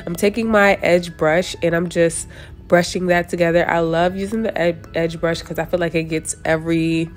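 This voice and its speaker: A young woman with a stuffy, congested voice, casually walking viewers through a hair tutorial while she works. She chats loosely and often stops to correct herself. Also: on the low side. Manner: chatty, talks while working, often restarts and corrects herself. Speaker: hair vlogger.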